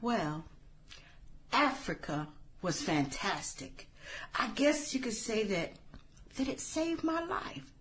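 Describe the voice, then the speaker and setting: A woman's voice: fairly high pitch, very animated, slow pace, pauses often, a bit bright, a little hiss or hum, heavily acted. A woman acting in a satirical radio drama as an earnest, guarded former classmate, speaking sincerely with small hesitant stammers. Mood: reflective.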